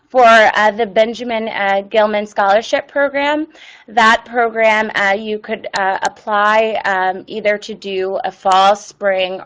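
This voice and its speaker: A woman hosts an online presentation, pausing often with ums and uhs. Her voice sits at an ordinary middle pitch. She answers viewer questions in a plain, informative way. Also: fairly bright tone, poor audio. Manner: reads viewer questions aloud. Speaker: webinar presenter.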